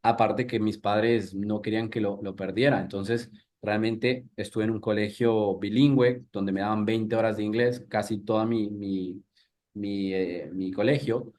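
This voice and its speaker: A man's voice: lowish voice, fairly even intonation, unhurried, darkish tone. Man in his thirties teaching a workshop. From Ecuador. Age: 30s. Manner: conversational, many restarts and fillers, asks the audience questions. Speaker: English teacher. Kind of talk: live workshop talk.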